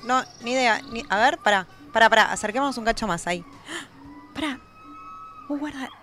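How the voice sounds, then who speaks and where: A woman's voice: a little high, moderate pace, fairly bright tone, faint music behind, close to an everyday voice. A woman with a Rioplatense Argentine accent playing a curious, chatty explorer in a comic radio play, urgent and repeating herself.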